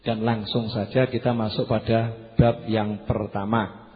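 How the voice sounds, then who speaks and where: A slightly hoarse man giving a religious lecture to a congregation, conversational, repeating key phrases and putting questions to his listeners. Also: on the low side, little pitch movement, measured pace. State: slightly hoarse after losing voice. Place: large hall at a religious gathering. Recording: muffled PA with long hall reverb.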